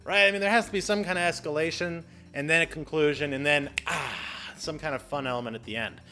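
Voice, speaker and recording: A man hosting an explainer video in a casual, chatty style, animated and exclamatory, with playful exaggerated asides. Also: on the high side, fast talker, soft background music. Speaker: video host giving public speaking tips.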